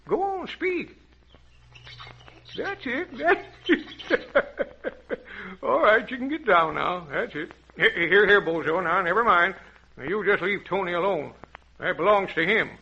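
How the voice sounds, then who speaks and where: An actor playing a jovial old adventurer who tells children tales in a folksy, rambling old-time radio style. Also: male voice, sits high, creaky, pushed and bright, husky, exaggerated voice. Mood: jovial, indulgent. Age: older. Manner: folksy, chuckling, rambling storyteller. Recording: dull lo-fi vintage radio recording.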